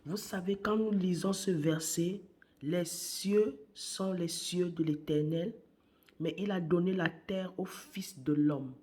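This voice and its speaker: Slow, hushed woman preaching with a cold. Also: lowish voice. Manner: slow and deliberate, repeats phrases, hushed at times. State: has a cold, voice worn. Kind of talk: morning sermon exhortation.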